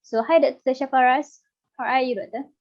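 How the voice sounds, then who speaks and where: A woman introduces panelists on an online webinar, upbeat and formal. Her voice sits slightly high. It comes through dull, lo-fi video call audio. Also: steady tone, fairly quick. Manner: upbeat, reads speaker bios. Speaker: webinar moderator.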